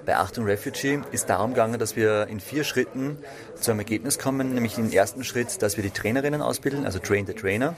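A man's voice: medium pitch, fast. A man giving a spontaneous interview account of his work as a radio trainer. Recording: busy crowd murmur behind. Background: crowd chatter.

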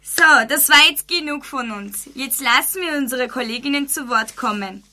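A woman's voice: high-pitched, moderate pace, loud music behind the voice. A teenage schoolgirl asking her classmate questions in a scripted radio dialogue. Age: teens. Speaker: student presenter.

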